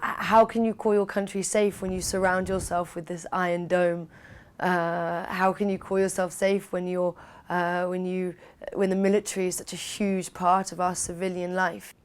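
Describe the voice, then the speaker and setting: A woman with a low, flat voice answers an interviewer calmly and with measured pauses. She hesitates now and then, with small fillers. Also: smooth.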